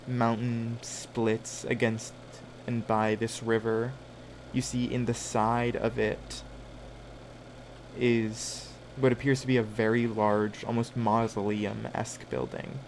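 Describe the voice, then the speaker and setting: A man running a tabletop game as game master, improvising scene descriptions in a halting way as he searches for words. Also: medium pitch, level tone, vocal fry, slight background noise.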